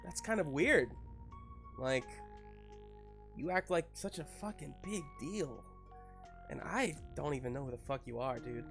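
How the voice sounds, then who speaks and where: A man voicing a blunt, foul-mouthed woman superhero who comforts awkwardly and haltingly in a tabletop game. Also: on the high side, lively intonation, creaky, a bit bright, soft background music, full character voice. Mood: blunt, challenging. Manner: halting, casual, swearing bluntly.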